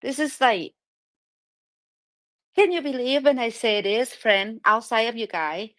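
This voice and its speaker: A woman with a thick Thai accent hosting a live audio chat, speaking broken English in an animated, excitable way. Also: fairly high pitch, unhurried, dry recording, studio quality. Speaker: host.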